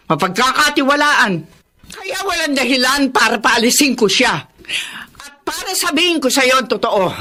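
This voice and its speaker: Firm, animated older mother. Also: fairly high pitch, full character voice. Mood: firm, frank. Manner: emphatic, reproachful, dramatic. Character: firm older mother, exasperated, frank with her daughter. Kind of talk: Filipino radio drama acting.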